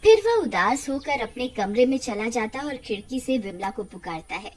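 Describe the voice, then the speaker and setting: A woman's voice: fairly high pitch, animated, moderate pace, darkish tone. A woman narrating a scripted horror story as a storyteller.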